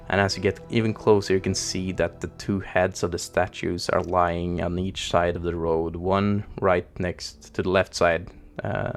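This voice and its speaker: A Norwegian-accented man runs a tabletop game in a very low, unhurried voice. He narrates haltingly, with many fillers, and faint music plays behind him. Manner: slow, hesitant, full of fillers. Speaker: game master.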